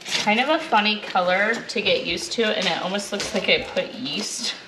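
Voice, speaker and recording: A woman in her thirties walks through a home recipe in a relaxed, chatty way, explaining each step as she goes. Her voice sits at an ordinary middle pitch. Pots, pans and cutlery clatter clearly around her in the kitchen. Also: moderate pace, background noise. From Alaska. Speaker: homestead vlogger. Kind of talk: casual cook-along vlog. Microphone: handheld or mounted camera, moving around. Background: clattering pots, dishes and cutlery.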